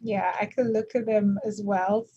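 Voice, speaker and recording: A woman on a video call reading out the participants' chat replies in a brisk, friendly way. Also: medium pitch, fairly even intonation, warm tone, husky. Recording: muffled lo-fi call audio.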